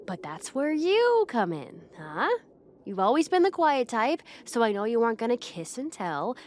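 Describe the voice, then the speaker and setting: A young woman acting a proud, bossy popular high school girl who gets flustered, in a roleplay audio addressed to the listener. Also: very animated, moderate pace. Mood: scheming, persuasive. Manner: haughty, bossy, flustered hesitations.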